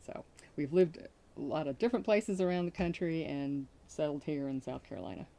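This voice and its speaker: A woman's voice: low voice, expressive, moderate pace, creaky, mellow. A woman in her sixties, from Connecticut, chatting cheerfully as a guest and showing sewing techniques, with frequent small laughs and fillers. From Connecticut, USA. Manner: cheerful, laughs often, fills with uh and um. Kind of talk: casual chat and sewing demonstration.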